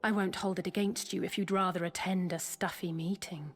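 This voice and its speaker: An actress playing a determined, proud young heroine in a fantasy video game, speaking in a direct and understated way. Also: female voice, fairly low pitch, fairly even intonation, brisk, natural voice. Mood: hesitant, hopeful. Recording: music behind the voice. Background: game music.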